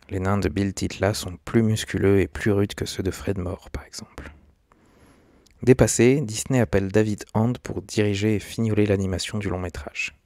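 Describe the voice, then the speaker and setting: A man's voice: lowish voice, vocal fry, darkish tone, no echo. A man reading a scripted documentary narration, now and then stumbling slightly over the script. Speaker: host of an animation history video channel. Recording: dry, close, with background music.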